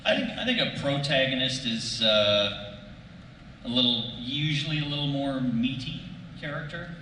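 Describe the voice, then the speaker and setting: A man speaking casually on a panel of voice actors, halting and correcting himself as he goes. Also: medium pitch, lively intonation, pushed and bright. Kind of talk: casual unscripted panel talk. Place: large convention panel room. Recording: distant, echoey hall, noisy background.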